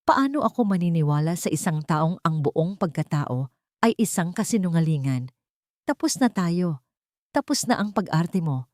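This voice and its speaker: A woman's voice: lowish voice, sing-song, moderate pace, a little mellow, no echo, clean studio sound. A woman voicing a cold, bitter, wounded billionaire man in a narrated drama, accusing and final.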